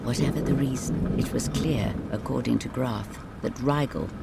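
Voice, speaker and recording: A storyteller narrating a scripted bedtime fairy tale in measured, dramatic phrases. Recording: clear rain and rumble noise behind. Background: rain ambience.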